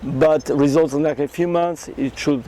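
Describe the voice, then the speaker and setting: Earnest Portuguese man, slightly high voice. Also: steady tone, warm tone, slight background noise. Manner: earnest, halting non-native English with many restarts. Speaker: karate champion and entrepreneur, guest. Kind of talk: interview storytelling.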